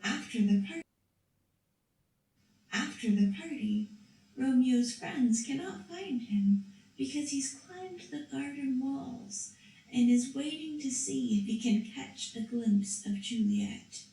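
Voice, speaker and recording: A woman's voice: sits high, lively intonation, slow pace, vocal fry, warm tone, recorded from a distance. A woman narrating a story for a school puppet show, reading carefully with a few restarts. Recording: echoey room, voice from off-mic.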